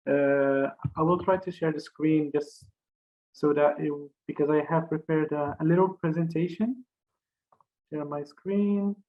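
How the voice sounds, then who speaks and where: A young man from Morocco giving an online career fair talk, speaking haltingly with many fillers and restarts over a video call. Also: on the high side, steady tone, lo-fi sound.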